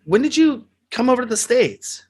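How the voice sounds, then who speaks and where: A man's voice: extremely high pitch, big pitch swings, normal speed, darkish tone, husky. A man hosting an interview, talking casually with lots of fillers. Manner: rambling, many fillers. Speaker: interview host.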